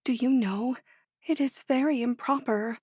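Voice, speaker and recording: Woman doing a flustered married lady in love, breathy, highish, audiobook drama. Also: a little slow, a little mellow. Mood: hesitant, flustered. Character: Emma Bovary, hesitant romantic married woman.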